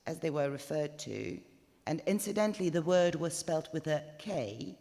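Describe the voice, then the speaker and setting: A woman's voice: deep voice, lively intonation, moderate pace, mellow. A woman voicing a pedantic, skinny moustached man who lectures and digresses pompously, in a live story reading. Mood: lecturing, knowing. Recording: live in echoey stone chapel, long reverb.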